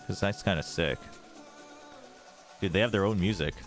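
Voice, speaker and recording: A man's voice: low voice, normal speed, soft background music. A man with an American East Coast accent chatting informally as he hosts a casual esports livestream. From United States. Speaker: esports watch party host and analyst. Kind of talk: casual livestream commentary and chat.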